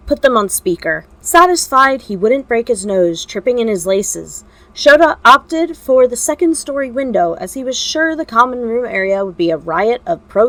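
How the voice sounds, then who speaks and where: A woman reading scripted fiction as an amateur narrator, with wry, expressive storytelling. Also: mid-range voice, rough recording.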